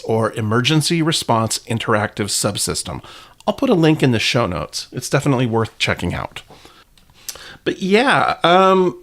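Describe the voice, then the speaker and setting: Man podcasting with very animated pitch. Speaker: podcast host. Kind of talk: scripted commentary with casual asides.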